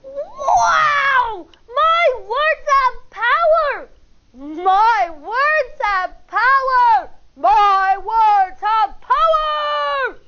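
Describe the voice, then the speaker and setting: A woman voicing a timid little boy lightning bug who finds his courage, with a high, earnest voice. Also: lively intonation, very slow, pushed and bright, muffled recording, a little hiss or hum, exaggerated voice. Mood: overjoyed.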